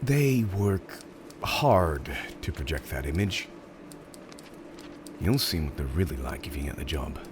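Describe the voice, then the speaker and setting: A man's voice: extremely low pitch, lively intonation, unhurried, long pauses, background noise. A man playing a theatrical, polite but exasperated supervillain interviewer in a roleplay audio drama, hesitant and mock-professional, prone to chuckling. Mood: amused, candid.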